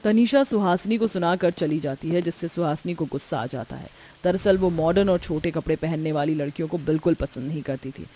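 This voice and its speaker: A woman's voice: low-pitched, brisk, mellow. A woman reading scripted narration for a moral story as its narrator.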